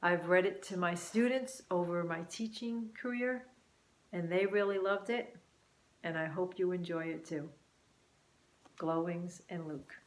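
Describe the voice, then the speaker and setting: A woman reading a children's story expressively and deliberately, with dramatic pauses. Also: lowish voice, slight background noise. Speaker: author and teacher reading own book. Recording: roomy, echoing room sound.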